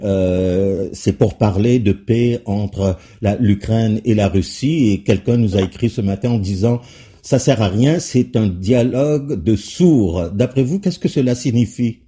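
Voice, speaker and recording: A man hosting a radio talk show, asking his guest questions in an unhurried way with fillers. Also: on the low side, mellow, breathy edge. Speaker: radio host.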